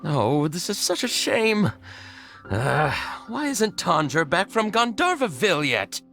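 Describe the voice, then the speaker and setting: A man's voice: high voice, sing-song, unhurried, a bit bright, faint music behind, heavily acted. A man playing an anxious, fretful shop worker in a video game, hesitant and flustered in delivery. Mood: disappointed. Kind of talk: video game character dialogue.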